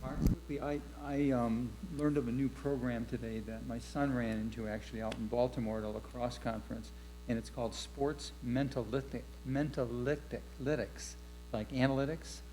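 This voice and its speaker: A man's voice: mid-range voice, fairly even intonation, moderate pace, noisy background. A man speaking at a school board meeting, chatty and rambling with many "you know"s. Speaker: board member.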